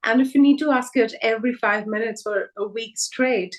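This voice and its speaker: A woman's voice: on the high side, normal speed, rough recording. A woman whose first language is Hindi, presenting a live online workshop over a video call and carefully repeating each name as she explains it.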